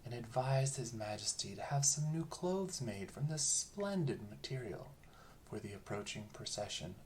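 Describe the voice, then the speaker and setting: A storyteller narrating a bedtime fairy tale in a calm, soothing, lilting manner. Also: medium pitch, normal speed, slight background noise.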